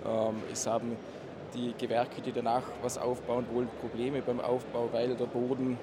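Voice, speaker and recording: A man with an Austrian accent gives an informal technical presentation as a surveyor, speaking haltingly and holding back his dialect. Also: mid-range voice, completely flat delivery. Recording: loud background noise, live talk.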